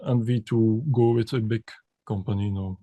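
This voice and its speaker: A man from Belgium, a composer and pianist, answering interview questions in halting with many restarts and repeated words. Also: fairly low pitch, fairly even intonation, dark tone, dry recording.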